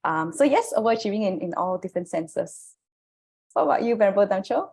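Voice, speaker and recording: A woman's voice: medium pitch, lively intonation, fast talker, gravelly, a little mellow, slightly rough. A woman from Malaysia co-hosting a podcast, candid and joking about herself. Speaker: podcast co-host. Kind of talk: casual podcast conversation.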